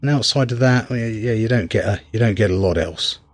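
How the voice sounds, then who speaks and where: Man, deep rough-edged voice, casual gameplay commentary, hesitant thinking-aloud delivery. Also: brisk, no room sound. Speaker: video game reviewer.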